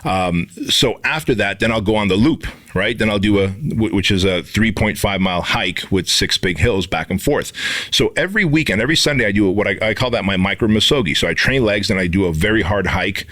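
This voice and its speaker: A man's voice: fairly low pitch, a little fast, a bit bright. A man in his forties from Armenia, co-hosting a podcast and talking emphatically, swearing freely. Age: 40s. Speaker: podcast co-host. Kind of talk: casual motivational talk.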